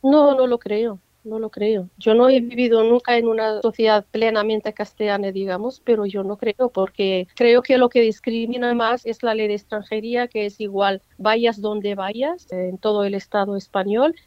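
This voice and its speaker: Woman, measured answers. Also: on the high side, steady tone, no room sound, muffled recording. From North Africa. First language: Amazigh. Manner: measured, often tags "¿no?". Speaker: author, interview guest. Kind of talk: reflective interview answers.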